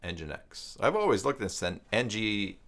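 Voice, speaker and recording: A middle-aged man with a fairly low voice hosts a live stream in a casual, chatty way. He rambles and thinks aloud, moving between reading things out and talking directly to his viewers. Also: sing-song, unhurried, fairly bright tone. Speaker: live stream host, self-employed web tutor.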